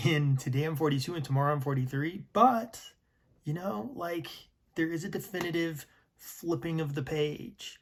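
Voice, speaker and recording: A man in his early forties giving a slow, reflective personal talk, sometimes dropping to a near whisper. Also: on the high side. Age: 40s. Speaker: vlogger.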